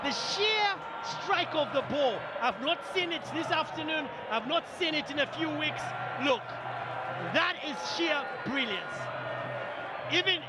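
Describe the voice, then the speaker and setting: A man's voice: very high-pitched, very noisy. A man giving emphatic analytical color commentary on a football match. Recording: broadcast commentary over loud stadium crowd.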